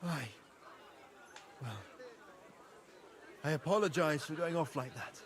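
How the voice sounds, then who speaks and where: A man's voice: a little high, slow. An actor playing a dutiful, proud young nobleman, a younger son, speaking formally and earnestly in medieval game dialogue.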